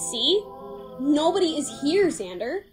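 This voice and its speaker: A woman voicing an excitable, reckless young female cat in an animated comic dub, with a bubbly, theatrical, teasing manner. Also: high-pitched, unhurried, soft background music. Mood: smug, defiant. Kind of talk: comic dub character acting.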